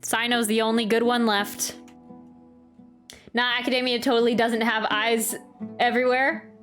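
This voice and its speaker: Woman, higher voice, informal streamer, musing aloud, faint game music behind. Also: level tone, moderate pace, a bit bright. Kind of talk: casual live game commentary.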